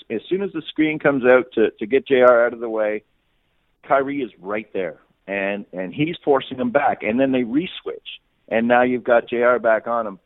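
A man's voice: medium pitch, dry recording, narrow, tinny audio. A man giving unscripted sports analysis as an interview guest, often repeating and rephrasing phrases as he reasons aloud. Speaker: sports reporter, podcast guest.

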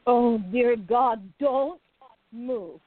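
Woman playing an eager lovestruck girl in a radio drama, kinda high, takes her time, coaxing and fond. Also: very slow, narrow, tinny audio. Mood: awed. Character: smitten, eager young woman, affectionate and insistent. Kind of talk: radio play acting.

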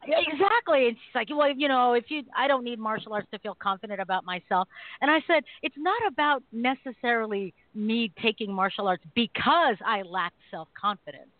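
A woman hosting a call-in talk radio show, chatting casually and animatedly with quick affirmations. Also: a little high, no echo. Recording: tinny phone-like dry audio.

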